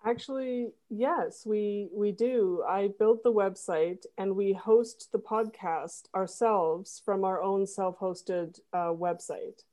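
A woman's voice: mid-range voice, slow, hardly any pauses, mellow. A woman guest on a podcast explaining how she runs her site, rambling with fillers. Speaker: podcast guest, web designer. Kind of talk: casual interview.

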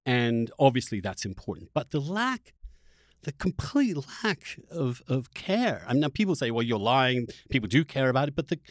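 A man with a lively, swooping delivery and a mid-pitched voice talks politics as a podcast host. He is emphatic and opinionated, halting and repeating phrases as he goes. The sound is dry. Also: dry recording. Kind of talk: opinionated political interview discussion.

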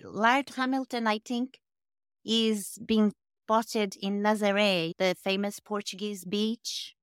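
A woman hosting a podcast interview, asking her guest questions with curiosity in an unhurried, friendly way. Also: medium pitch, clear, resonant tone, no echo, clean studio sound. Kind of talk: casual interview conversation.

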